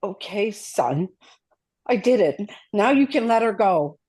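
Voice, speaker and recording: A woman's voice: medium pitch, animated, slow pace, darkish tone, breathy edge. A woman playing a frightened, desperate mother in a script read, hesitant and stammering. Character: frightened, desperate mother under a kidnapper's orders. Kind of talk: dramatic script table read.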